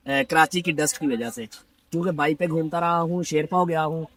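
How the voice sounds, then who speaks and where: A man's voice: fairly high pitch, fairly even intonation, a little fast, a bit bright, dry recording. A man with a sore throat, hosting a casual shop tour vlog and chatting with shopkeepers and customers. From Pakistan. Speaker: vlogger host. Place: busy dry fruit shop.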